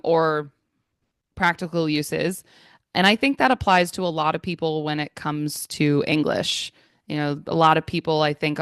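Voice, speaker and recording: American woman, English trainer; low, slow, smooth voice, dry close sound, measured and reflective. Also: fairly bright tone, no echo. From United States. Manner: thoughtful, measured, with frequent fillers. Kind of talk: conversational interview answers. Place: Florida.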